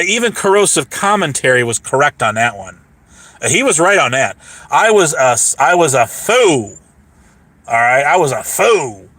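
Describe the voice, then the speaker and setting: A hoarse man rambling casually on a livestream, boastful, repeating himself and laughing mid-sentence. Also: a little high, fairly bright tone, no echo.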